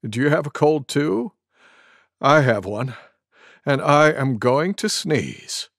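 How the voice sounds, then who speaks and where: Man as a polite, slow-talking elephant. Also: fairly high pitch, lively intonation, fairly bright tone, dry recording, clean studio sound, heavily acted. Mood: polite, mild. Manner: courteous. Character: polite, congested, oblivious big male elephant.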